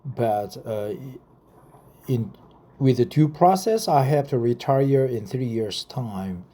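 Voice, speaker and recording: A man in his fifties from South Korea with a Korean accent, reading information aloud with casual personal asides. He is halting and deliberate and often repeats phrases. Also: mid-range voice, expressive, dark tone. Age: 50s. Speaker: vlogger explaining a career path.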